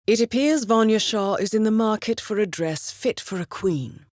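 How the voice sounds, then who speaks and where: A woman playing a composed, calculating intelligence handler in a video game, giving measured, precise mission briefings with dry, understated wit. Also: mid-range voice, a little mellow, no room sound, natural voice. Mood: wry, composed.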